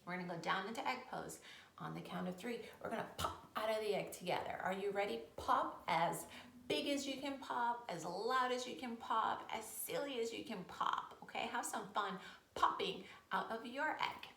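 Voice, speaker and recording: A woman teaching yoga storytime to young children, encouraging and playful, with expressive storytelling and hushed, breathy moments. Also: fairly high pitch, moderate pace. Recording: roomy echo with faint noise.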